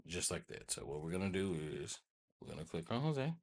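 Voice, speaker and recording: An American man with a husky voice hosting a casual software tutorial, rambling informally and often checking in with listeners. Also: low-pitched, sing-song, fairly quick. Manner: informal, rambling, repeats check-in tags like "right?" and "okay?". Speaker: host of a tech tutorial channel.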